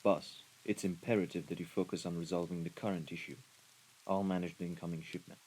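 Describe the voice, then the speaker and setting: Man playing a deep-voiced, menacing mafia henchman. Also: level tone, darkish tone, a little hiss or hum, close to an everyday voice, poor audio. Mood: calm, deferential. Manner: formal, measured, deliberate wording. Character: menacing, composed mafia right-hand man, formal and dutiful. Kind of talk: scripted audio roleplay acting. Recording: rain and ambience behind the voice.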